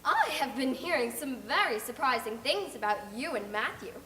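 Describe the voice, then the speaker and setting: A woman's voice: high-pitched, big pitch swings, normal speed, bright, forceful tone, roomy sound, a little hiss or hum, full character voice. A woman doing a blunt, gossipy older neighbor. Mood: gloomy. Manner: outspoken and emphatic. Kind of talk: audiobook character reading.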